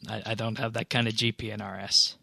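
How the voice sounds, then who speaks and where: A man's voice: fairly low pitch, level tone, quick pace, vocal fry, sharp tone, no room sound, studio quality. A man hosting a podcast, casually going through game patch notes in an explanatory, conversational way. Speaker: podcast host.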